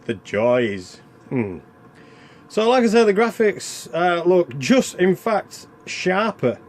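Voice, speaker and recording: A man's voice: high voice, very animated, slow pace. Rambling man casually commentating on games. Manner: rambling, self-deprecating. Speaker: video game reviewer and channel host.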